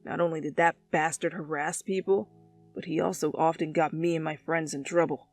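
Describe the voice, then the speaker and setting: A woman voicing a cocky, blunt teenage delinquent boy in an audio fan story, gruff and dismissive. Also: low voice, fairly even intonation, moderate pace, a little mellow, soft background music. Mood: scornful, matter-of-fact.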